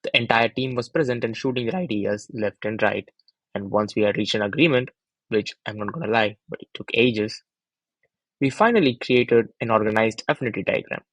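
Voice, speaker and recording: Deep husky young man narrating a presentation. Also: a little fast, dry recording, rough recording. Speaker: student narrator presenting team research.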